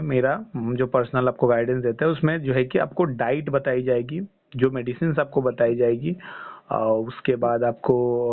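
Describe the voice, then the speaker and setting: A man giving reassuring health guidance as a coach over a phone call, explaining step by step and often checking with short tag questions. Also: mid-range voice. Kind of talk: phone consultation, explaining and advising. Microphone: phone line. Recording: tinny band-limited phone call.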